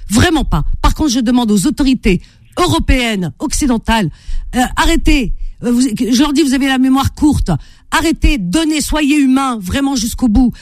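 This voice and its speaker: A woman's voice: high-pitched, animated, normal speed, no room sound. A woman hosting a call-in radio debate, arguing insistently and cutting in on her caller. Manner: argumentative, interrupting, insistent. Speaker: radio host.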